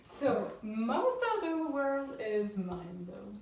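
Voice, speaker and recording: A young woman from France co-hosting a couple's vlog, explaining her results in a calm, measured way. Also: a little high, recorded from a distance, slight background noise. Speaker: vlog co-host. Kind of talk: casual chat. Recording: echoey room, off-mic.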